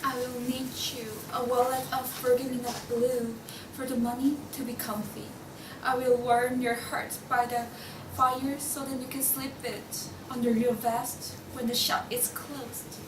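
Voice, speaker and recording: A woman's voice: on the high side, flat delivery, a little slow, lightly acted. A young woman playing a tender, lovestruck dressmaker in a table read of a radio play. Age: young adult. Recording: echoey noisy room, distant mic.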